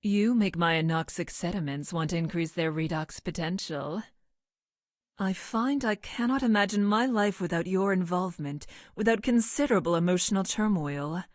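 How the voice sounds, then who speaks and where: Woman as clinical young female scientist from the future, video game; lowish voice, deadpan, precise, dry close recording. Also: normal speed, dry recording, natural voice. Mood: deadpan affection. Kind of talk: video game character voice lines.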